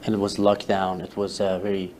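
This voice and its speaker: A man whose first language is Farsi, a guest telling his story in a casual interview, halting with many fillers and restarts. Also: low voice, monotone, a little mellow, slight background noise. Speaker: interview guest, social media creator.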